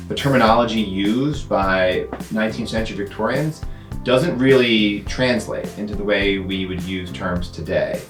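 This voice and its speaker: A man's voice: lowish voice, echoey room. A man presenting to camera as a playful, self-aware museum education host.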